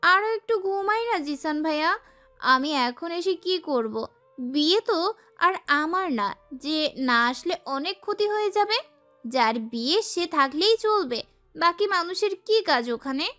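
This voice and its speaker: A young woman playing a sassy, feisty girl who tells her own love story in an expressive, girlish way. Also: very high voice, measured pace, soft background music, full character voice. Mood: whiny, indignant. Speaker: narrator and lead actress. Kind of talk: dramatised first-person romance story narration.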